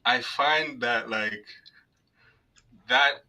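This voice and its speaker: A man's voice: medium pitch, extremely slow, sharp tone, poor audio. A man in his forties from Canada, a guest in casual chat, joking, affectionate and loose. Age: 40s. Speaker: guest on a live stream.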